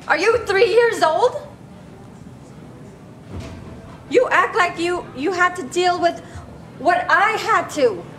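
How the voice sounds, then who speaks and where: A woman's voice: very high-pitched, animated, takes it slowly, fairly bright tone, faint music behind, heavily acted. A woman with as her playing a bitter, scolding refugee mother in a staged play reading, emphatic and exasperated. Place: large glass-walled hall, on stage. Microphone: handheld microphone through PA speaker. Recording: noisy live stage PA recording.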